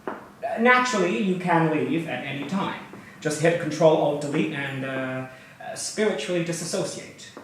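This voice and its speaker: High-pitched, glib tech founder, played by a man, echoey. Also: slight background noise, close to an everyday voice. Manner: showy, sardonic, flustered asides. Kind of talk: theatrical monologue, staged reading. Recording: very echoey room, distant mic.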